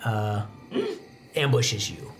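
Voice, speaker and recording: Young man narrating haltingly over music. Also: lowish voice. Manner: halting, conversational storytelling with pauses mid-sentence. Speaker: game master. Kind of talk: improvised narration of a tabletop roleplaying game. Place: indoor studio set at a game table. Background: background music.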